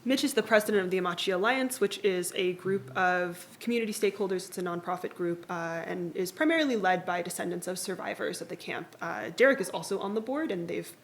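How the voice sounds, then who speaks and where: A woman's voice: fairly low pitch, expressive, fairly quick, few pauses, slight background noise. A woman guest in a live podcast interview, explaining in long, fluent, connected points. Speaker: guest speaker.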